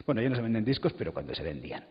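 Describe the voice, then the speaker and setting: A man's voice: lowish voice, very animated, very fast. A man speaking off the cuff as a panelist at a public conference. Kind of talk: spontaneous public panel talk. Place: conference hall, amplified event. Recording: muffled, reverberant hall recording.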